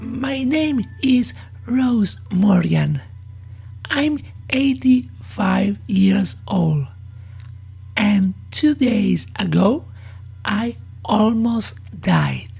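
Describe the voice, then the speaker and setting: A woman voicing a frail woman in her eighties, creaky and breathy, confiding a horror story in a slow, halting way. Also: medium pitch, expressive, darkish tone, faint music behind, voice pushed up, exaggerated voice. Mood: grave, confiding. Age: 80s. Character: frail eighty-five-year-old woman recounting her near death. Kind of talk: horror audio story monologue.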